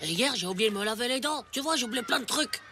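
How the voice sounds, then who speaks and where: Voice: medium pitch, lively intonation, quick pace, music in the background, close to an everyday voice. A performer voicing a dramatic, hyperactive ten-year-old schoolboy in a French TV cartoon, slangy and prone to stammering. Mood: worried. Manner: hesitant stammering, slangy, exaggerated.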